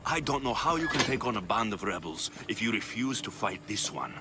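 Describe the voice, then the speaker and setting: An actor playing a defiant, wry young Greek warrior hero with a dry, sardonic manner in a video game. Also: male voice, on the low side, animated, fairly quick, over loud music, natural voice. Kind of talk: dramatic video game cutscene acting.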